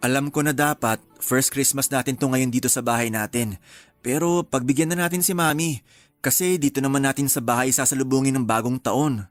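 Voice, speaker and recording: A man's voice: medium pitch, fairly even intonation, a little fast, no echo, close to an everyday voice. An actor playing a mild, reassuring young husband and father in a radio drama. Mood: easygoing. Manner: mild, reassuring, calls wife "mahal". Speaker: husband character. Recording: faint music bed behind.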